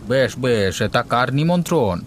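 A man's voice: mid-range voice, expressive, normal speed, slight background noise, lightly acted. Man acting a kindly old village uncle. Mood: kindly, curious. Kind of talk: cartoon dialogue. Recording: music and rain behind the voice.

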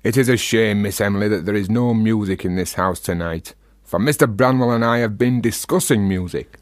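An actor playing a flattering, teasing male companion in a Victorian household, with florid, archaic phrasing, in a period radio drama. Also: lowish voice, a little fast, no room sound, clean studio sound, close to an everyday voice. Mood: playfully courtly.

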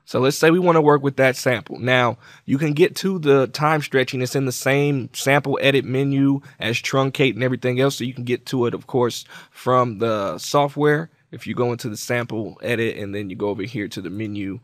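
A man's voice: medium pitch, a little fast, few pauses, no room sound. Man giving a casual tutorial, dry close recording. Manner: informal, conversational, explains step by step. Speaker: tutorial presenter. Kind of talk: casual software tutorial walkthrough.

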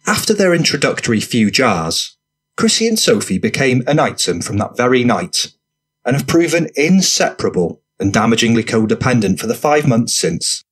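A man's voice: mid-range voice, sing-song, moderate pace, husky. A man narrating a gritty crime audiobook, reading scripted present-tense fiction.

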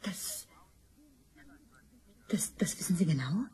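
A wary woman in a crime radio drama. She is curt and indignant, with a soft-toned, very raspy voice. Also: on the low side, expressive, slow, full character voice. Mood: alarmed, demanding. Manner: curt, guarded. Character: wary, indignant woman receiving a threatening call.

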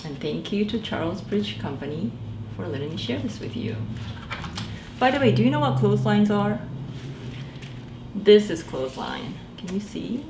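A woman in her forties, a children's librarian, reading a picture book aloud in a gentle sing-song way and asking the children to guess. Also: medium pitch, very animated, normal speed, echoey room, background noise. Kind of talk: storytime read-aloud for young children. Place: small library room indoors.

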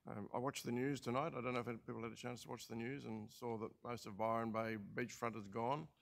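An older Australian man chairing a council meeting as administrator, speaking in a halting way with many fillers and restarts, into a desk mic in a large council chamber. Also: mid-range voice, little pitch movement, gravelly. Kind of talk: formal meeting remarks.

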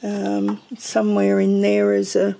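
A woman's voice: medium pitch, completely flat delivery, measured pace, gravelly, dark tone, slight background noise. A woman giving a casual, meandering tour of her home pantry, thinking aloud as she films her shelves. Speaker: homesteading vlogger.

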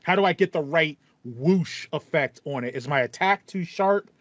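A man in his thirties with a slightly high voice talks casually as a podcast host. He likes to act out thoughts as he goes. The sound is dry and a little muffled, as if on a headset in a small room. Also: lively intonation, moderate pace, fairly bright tone, dry recording. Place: small room at home with acoustic foam, indoors. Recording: slightly dull, band-limited audio.

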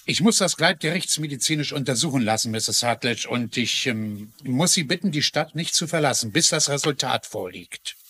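A bright-voiced, dutiful small-town sheriff. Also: male voice, mid-range voice, lively intonation, moderate pace, hardly any pauses, husky. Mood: suspicious, official. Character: folksy middle-aged small-town sheriff, plodding but dutiful. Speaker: actor in a dubbed crime drama. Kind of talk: German-dubbed TV detective drama acting.

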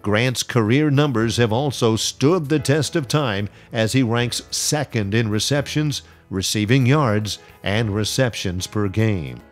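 A man giving scripted, measured voice-over narration full of statistics. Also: mid-range voice, faint music behind.

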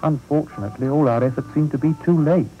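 A man's voice: on the high side, quick pace, muffled recording, soft background music, voice pushed up, lightly acted. A man playing an earnest, polite young male helper who speaks apologetically.

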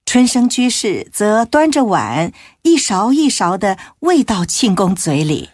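A woman's voice: mid-range voice, very animated, measured pace, darkish tone, breathy edge, no echo, studio quality. A woman reading scripted storytelling narration as a narrator of an audiobook.

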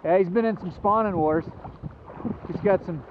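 A man's voice: high voice, normal speed. A man fishing on a river, chatting casually and whooping over catches, recorded on a body-worn action camera outdoors. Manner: excited whoops over fish. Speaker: angler filming on a river. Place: outdoors on a river, wind and water. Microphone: chest or head-mounted action camera. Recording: tinny action-camera audio with loud wind.

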